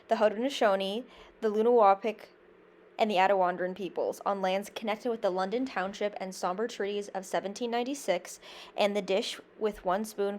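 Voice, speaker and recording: A woman's voice: mid-range voice, a little mellow, a little hiss or hum. A young woman from Canada, a university student, reading a prepared class presentation in an earnest, careful way, with small slips and restarts. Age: young adult.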